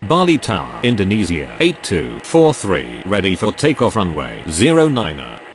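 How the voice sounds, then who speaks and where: Casting: male actor as game aviation radio voice, low-pitched, formulaic phraseology, noisy recording. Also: huge pitch swings, moderate pace, full character voice. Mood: procedural. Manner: formulaic, procedural. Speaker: air traffic radio voice, pilot and controller. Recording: noisy audio with background rumble.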